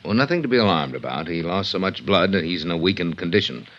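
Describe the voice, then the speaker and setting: A muffled 1950s radio recording, with a hospital desk attendant. His low voice is measured, courteous and firm, officious in old radio drama style. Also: expressive, a bit bright. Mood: polite, firm.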